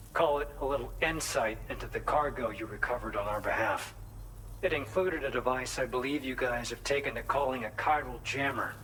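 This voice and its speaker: A man acting as an earnest video game quest-giver with a very bright, forward voice at a middle pitch. He explains things in a chatty, conscientious way, upbeat and invested. There is faint noise behind him. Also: close to an everyday voice, low-quality recording. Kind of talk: video game cutscene dialogue.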